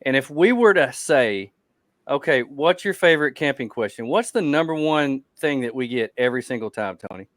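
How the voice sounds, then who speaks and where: A man's voice: medium pitch, animated, moderate pace, fairly bright tone, no room sound. A man co-hosting a live podcast in casual chat, repeating phrases for emphasis. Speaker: podcast co-host.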